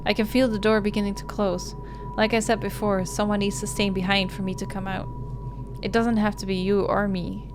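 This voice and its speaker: A woman's voice: mid-range voice, little pitch movement, a little fast, music in the background, natural voice. A woman voicing a young lost little sister from a visual novel, earnest, pleading and insistent. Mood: urgent pleading. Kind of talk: visual novel character read aloud.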